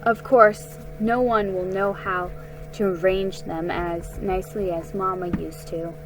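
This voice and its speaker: Lady doing a gloomy dramatic big sister from a kids story, slow talker, sour about everything. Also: medium pitch, steady tone, over music, lightly acted, rough recording. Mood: sour, resigned.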